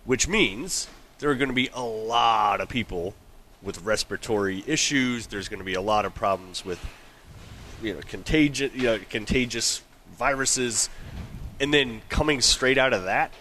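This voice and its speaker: Bright-voiced, blunt American man over background noise. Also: mid-range voice, normal speed. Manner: blunt, slangy. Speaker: sports talk show co-host. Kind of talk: casual, opinionated sports chat. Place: on location at a golf tournament. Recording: noisy on-location sound.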